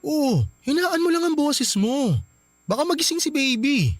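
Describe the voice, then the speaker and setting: A man's voice: very high-pitched, expressive, moderate pace, loud music behind the voice. A man playing a conflicted young Filipino husband in a radio drama, hesitant, pleading and defensive. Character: conflicted young husband, apologetic, loyal to his brother.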